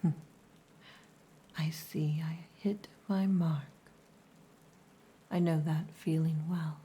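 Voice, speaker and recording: A woman acting a stern, possessive orc huntress in an ASMR roleplay, breathy and commanding, often close to a whisper. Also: low-pitched, steady tone, slow, dark tone. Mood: probing, somber.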